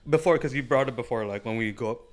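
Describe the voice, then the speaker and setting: A man's voice: mid-range voice, quick pace. Young man, podcast co-host, laid-back, reflective, easygoing chat. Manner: agreeable, reflective, lots of "you know what I'm saying". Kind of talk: casual chat.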